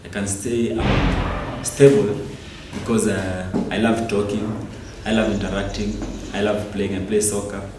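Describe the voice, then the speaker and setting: A man's voice: fairly low pitch, level tone, measured pace. A man of twenty-four from Kenya with a Kenyan accent, giving candid answers in an interview. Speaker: student interviewee. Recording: very echoey room, distant mic, noisy.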